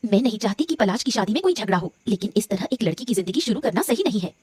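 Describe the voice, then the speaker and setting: A woman's voice: mid-range voice, expressive, fast talker, a little mellow, breathy edge, dry recording. A woman voicing an outspoken, principled married village woman in a cartoon story, challenging and reproachful. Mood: righteous, reproachful. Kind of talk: Hindi cartoon story dialogue.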